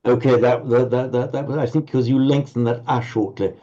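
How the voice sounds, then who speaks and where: A man's voice: medium pitch, steady tone, a little fast, warm tone. A man teaching a Pali class online, explaining patiently and often stumbling over repeated words. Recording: slightly muffled audio.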